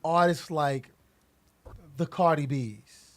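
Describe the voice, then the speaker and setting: A man's voice: a little high, slow pace, fairly bright tone. A man interviewing an artist, asking long questions with frequent restarts and repeated words.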